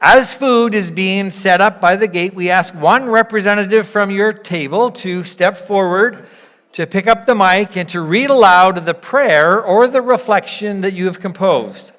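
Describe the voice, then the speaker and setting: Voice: medium pitch, a little slow, a bit bright. A church leader addressing a congregation with instructions and reflection in an encouraging, invitational, explanatory way. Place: large reverberant room, church gathering. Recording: reverberant hall sound.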